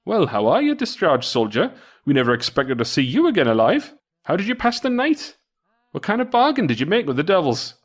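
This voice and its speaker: A man voicing astonished palace servants in a folktale, questioning with excitable curiosity. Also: on the high side, a little fast, pitched above the performer's own voice. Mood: surprised, curious.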